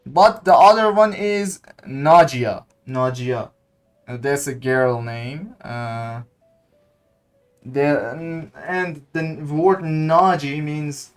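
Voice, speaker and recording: Male streamer, unhurried and halting, thinking out loud over faint game music. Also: mid-range voice. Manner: hesitant, thinking aloud, repeating words. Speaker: gaming streamer. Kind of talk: casual gameplay commentary.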